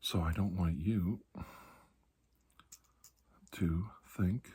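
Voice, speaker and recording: A man playing a calm, reassuring doctor in a soft-spoken ASMR roleplay, speaking slowly and deliberately in a breathy, husky voice that drifts into whispers. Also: deep voice, a little hiss or hum. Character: calm, reassuring male doctor treating alien skin disease.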